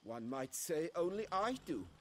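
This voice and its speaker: A fussy male wine steward in a fantasy game, with a highish, swooping voice. He speaks formally and pompously, bowing to his betters. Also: sing-song, measured pace. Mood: haughty, proud. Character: fussy, proud male winery steward, obsequious to royalty. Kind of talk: video game voice acting.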